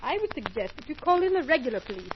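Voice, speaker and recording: A woman's voice: high voice, sing-song, fast talker. A woman playing a haughty, defiant wife in an old-time radio melodrama. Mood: cool, composed. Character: haughty, defiant young wife accused of murder. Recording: muffled, noisy old radio recording.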